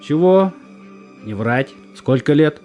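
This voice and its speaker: An actor playing a gruff, weary military recruitment commander in an audio drama, curt and stern as he questions young volunteers. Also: a little high, expressive, takes it slowly, mellow. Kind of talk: stage-style audio drama acting. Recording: music under the voice.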